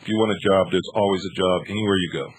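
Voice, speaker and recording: A man's voice: sits low, fairly even intonation, rapid-fire, noisy background. An American man hosting a live call-in radio show, questioning and debating in a confrontational, insistent, blunt way. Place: radio studio.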